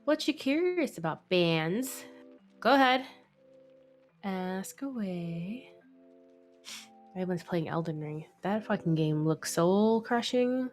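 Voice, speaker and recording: A woman's voice: mid-range voice, expressive, slow, pauses often, soft background music. A woman hosting a live drawing stream, chatting casually, laughing often and swearing freely, a bit sniffly from a head cold. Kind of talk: casual chat while drawing.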